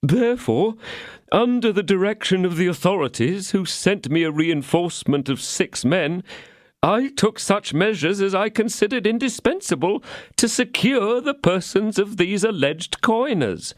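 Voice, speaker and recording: A man's voice: high voice, animated, measured pace, dry recording, clean studio sound, pitched above the performer's own voice. A man voicing a frightened, fawning innkeeper who pleads in a lamenting tone. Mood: pleading. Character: trembling fawning middle-aged male innkeeper.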